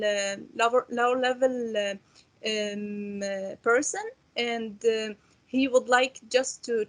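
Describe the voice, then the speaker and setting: Hesitant young Syrian woman. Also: a little high. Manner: hesitant, many fillers and restarts. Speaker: refugee student panelist. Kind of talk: roundtable discussion.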